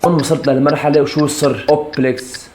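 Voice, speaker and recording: A man's voice: on the high side, level tone, racing, darkish tone. A man in his twenties from Syria with a Syrian Arabic accent, talking casually to followers as a vlogger. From Aleppo, Syria. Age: 20s. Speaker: YouTube content creator. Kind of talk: casual vlog answering follower questions.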